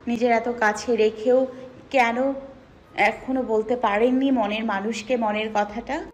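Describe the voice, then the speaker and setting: A woman's voice: fairly high pitch, fairly even intonation, a little slow, a little mellow, muffled recording, lightly acted. A woman playing a fragile, affectionate young female patient in a Bengali romantic audio story. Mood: gentle. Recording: music under the voice.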